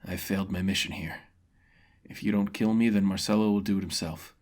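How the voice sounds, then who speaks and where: A man's voice: low-pitched, fairly even intonation, brisk, darkish tone, close to an everyday voice. A man voicing an honorable, remorseful male mercenary who speaks in a grave, formal, subdued way in a fantasy story. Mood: resigned.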